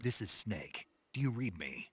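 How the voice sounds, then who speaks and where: An actor playing a terse, skeptical, hardened American special forces soldier in a cinematic video game, curt and understated. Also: male voice, on the low side, big pitch swings, unhurried, breathy edge, no room sound, exaggerated voice. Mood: terse, quiet.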